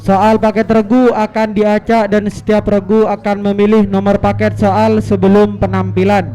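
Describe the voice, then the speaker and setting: A man formally reading out the rules of a school quiz competition at an event, in a measured, even way. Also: high-pitched, low-quality recording. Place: event hall at an Islamic boarding school.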